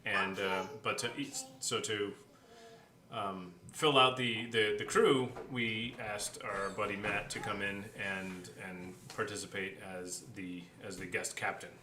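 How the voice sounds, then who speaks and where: A man's voice: fairly low pitch, steady tone, measured pace, pushed and bright, echoey room, a little hiss or hum. A man co-hosting a podcast, chatting casually and telling anecdotes. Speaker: co-host of a behind-the-scenes podcast.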